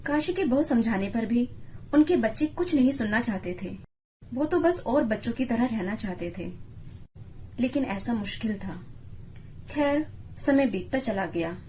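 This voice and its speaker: Female storyteller, higher register, scripted moral-tale narration, faint ambient noise behind. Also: lively intonation, unhurried, pauses often, a little mellow.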